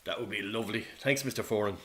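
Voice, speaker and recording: An actor playing a polite, businesslike military bureau interviewer in a radio play, speaking formally with an Irish accent. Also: male voice, on the low side, fast talker, fairly bright tone, lightly acted. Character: courteous, businesslike military bureau official taking testimony.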